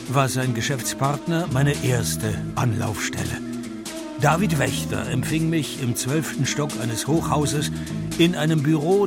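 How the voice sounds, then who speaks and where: A man's voice: a little high, vocal fry. A man playing a sardonic, hard-drinking private detective in a radio play, dry and laconic. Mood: dry, narrating. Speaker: narrator and lead actor of a radio play. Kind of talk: radio play narration and dialogue.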